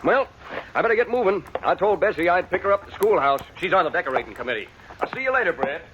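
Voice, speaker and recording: An actor playing a folksy small-town banker who repeats himself, in a 1950s radio western. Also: male voice, high voice, very animated, quick pace. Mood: evasive, curt. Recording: muffled, noisy old radio recording.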